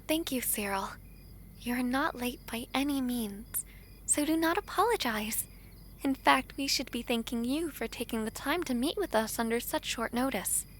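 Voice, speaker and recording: A woman playing a gentle, affectionate princess in a romantic fantasy audio drama, with formal, tender, courtly phrasing. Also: high voice, normal speed, a little hiss or hum, natural voice. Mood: gracious. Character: gentle, affectionate foreign princess, gracious and wistful.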